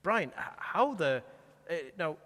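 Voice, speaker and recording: A man speaking as a pastor who hosts a live conversational interview, with a halting delivery that often rephrases itself. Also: a little high, sing-song, fairly bright tone. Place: church, before the altar. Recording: long echoey reverb of a large hall.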